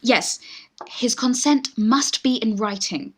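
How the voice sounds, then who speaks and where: A woman's voice: a little high, slow. A woman with a British accent playing an eager young Victorian lady in love in a radio farce, genteel and pleading. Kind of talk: Victorian stage farce, radio play acting.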